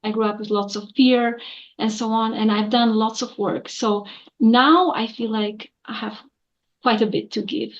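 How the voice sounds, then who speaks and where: A woman in her forties talks earnestly as a guest, filling her pauses with lots of ums and uhs. Her voice is a bit higher than most women's. The recording sounds a little dull and muffled. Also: fairly even intonation. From Albania. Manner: earnest, with many fillers. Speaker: guest, accountant. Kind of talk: interview answers.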